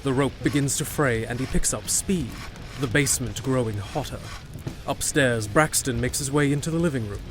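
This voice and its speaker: A man's voice: mid-range voice, moderate pace, noisy background. A man narrating a scripted fantasy audio drama as a storyteller. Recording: music behind.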